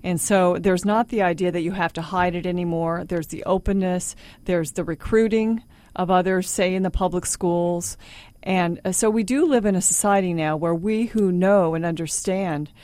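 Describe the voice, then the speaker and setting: Low-voiced woman radio host. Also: darkish tone, no echo. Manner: measured, leading questions. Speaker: radio talk show host.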